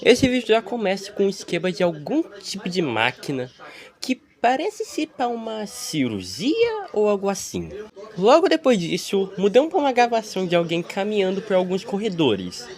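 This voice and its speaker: Lively Brazilian narrator with widely swinging pitch. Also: normal speed. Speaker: video creator narrating a series breakdown. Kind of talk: casual explanatory commentary voice-over.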